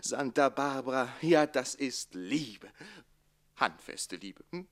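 A man playing a cheeky, quick-witted servant bridegroom, an amorous rogue, in playful theatrical radio comedy acting. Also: medium pitch, lively intonation. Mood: teasing, delighted. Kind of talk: classic German radio comedy play acting.

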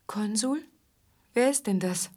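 An actress playing a wary, downtrodden young touring musician in a radio play, guarded, plaintive and direct. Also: female voice, mid-range voice, slow, dark tone. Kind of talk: German radio play acting.

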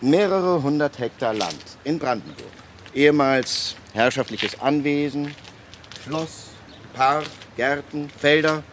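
An actor playing an excitable lawyer in a radio drama, speaking emphatically with dramatic pauses. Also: male voice, on the high side, a little hiss or hum. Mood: confiding, emphatic. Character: excitable male lawyer, indignant, relishing his personal tale.

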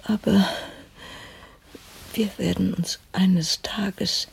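Radio drama casting: tender dying mother, very breathy whisper, slow and weak. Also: medium pitch, steady tone, exaggerated voice. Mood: grave, loving. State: gravely weak. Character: dying mother, gentle, pious, whispering weakly.

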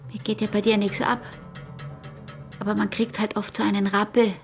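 An actress playing a scheming, seductive peasant wife in a radio drama, with a husky, breathy voice and an Austrian rural accent. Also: female voice, mid-range voice, little pitch movement, moderate pace, soft background music, close to an everyday voice. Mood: feigned meekness, coaxing. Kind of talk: intense radio drama acting in rural dialect.

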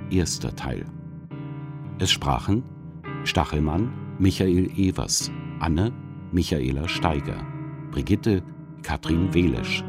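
A man announcing a radio play and its credits in a scripted, solemn, deliberate way. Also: a bass voice. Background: plucked guitar music.

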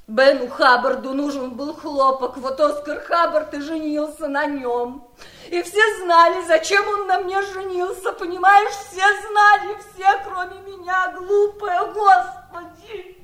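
An actress playing a fragile, unhappy middle-aged aunt of faded gentry, emotional and confiding, in theatrical 1970s radio drama style. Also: female voice, extremely high pitch, fairly even intonation, measured pace, a bit bright. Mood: bitter. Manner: emotional, tremulous, confiding, repeats herself. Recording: roomy vintage radio play recording.

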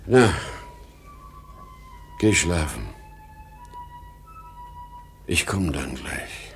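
A man's voice: sits low, big pitch swings, very slow, creaky, fairly bright tone, faint music behind, heavily acted. An actor playing a weary, rambling old traveling salesman in a 1950s German radio drama, swinging between outbursts and murmurs. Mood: nostalgic. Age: older.

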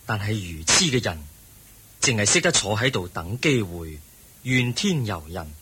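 A man voicing a solemn, wise teacher in a Hong Kong Cantonese radio drama, husky and measured, delivering moral lessons. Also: on the low side, very animated, bright, forceful tone, heavily acted. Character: solemn Buddha preaching wisdom and perseverance.

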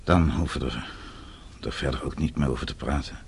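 An actor in a radio play, playing a principled, wounded elderly father who speaks gravely and haltingly. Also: a bass voice. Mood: resigned. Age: older. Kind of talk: radio drama acting. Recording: radio play with faint background noise.

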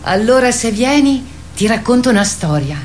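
A woman's voice: a little high, expressive, moderate pace, darkish tone, slight background noise, natural voice. A woman playing a wistful grandmother who tells fairy tales in a radio play. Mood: gentle, wistful. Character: wistful grandmother telling a fairy tale to her fearful grandchild. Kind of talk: theatrical storytelling in a radio play.

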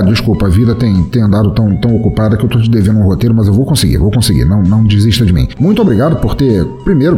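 A man's voice: on the low side, dark tone. A man with a Brazilian accent hosting a podcast in a chatty, effusive, informal way, reading and answering messages. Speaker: podcast host. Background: music bed.

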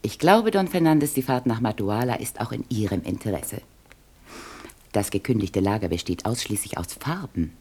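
Very deep-voiced, husky office clerk. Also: female voice, animated, moderate pace, darkish tone, heavily acted. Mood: courteous. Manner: formal, courteous. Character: polite, businesslike office clerk at a trading firm. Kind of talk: German radio play acting.

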